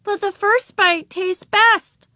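Woman doing a cheeky little preschool girl, high little voice, stubborn n sure of herself, storybook. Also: steady tone, slow, bright, forceful tone, no room sound, studio quality, exaggerated voice. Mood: cheeky, matter-of-fact. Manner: childlike, self-assured, wheedling. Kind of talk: children's audiobook character voice.

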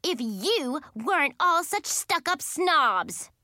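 A woman's voice: fairly high pitch, expressive, normal speed, no echo, clean studio sound, close to an everyday voice. A woman voicing a snooty young girl who complains in a whiny, haughty, indignant way, in a children's audiobook.

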